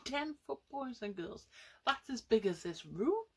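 A woman's voice: on the high side, sing-song, measured pace, fairly bright tone, slightly rough. A young woman telling a story to children, addressing them directly with questions and exclamations. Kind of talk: storytelling to children with paper puppets. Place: small room at home, indoors.

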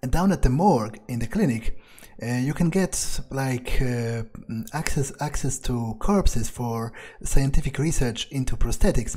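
A man narrating a video game playthrough in character, casual and wry, swearing often and filling pauses with ums and uhs. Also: medium pitch, darkish tone. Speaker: gamer roleplaying his character.

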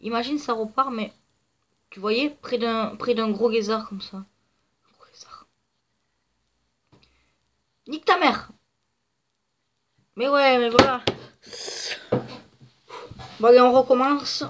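A woman's voice: a little high, slow pace, long pauses, a little hiss or hum. A woman with a stuffy, nasal voice from a cold, chatting casually through gameplay commentary with lots of fillers. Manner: chatty, rambling, many fillers. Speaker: gaming video creator.

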